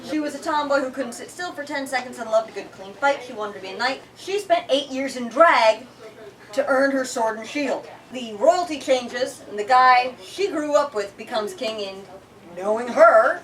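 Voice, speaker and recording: Animated, higher-voiced woman storyteller. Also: distant mic, poor audio. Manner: animated, emphatic, theatrical. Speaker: book talk host and reader. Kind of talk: dramatic book reading and discussion.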